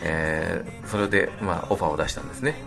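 A man talking on a radio show, explaining things politely with frequent drawn-out hesitations. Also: low voice, vocal fry. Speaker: band leader, guest talker on radio show. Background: background music.

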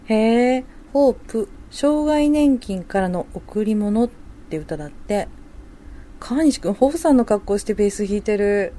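A woman playing a working young mother and wife in a radio drama, talking softly and conversationally as she thinks aloud. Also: medium pitch, expressive, normal speed, lightly acted. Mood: curious. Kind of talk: amateur radio drama dialogue.

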